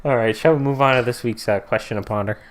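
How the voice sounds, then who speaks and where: A man's voice: mid-range voice, fast talker, a crowd in the background. A man co-hosting a podcast in casual comic chat, rambling and slangy as he jokes around. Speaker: podcast co-host.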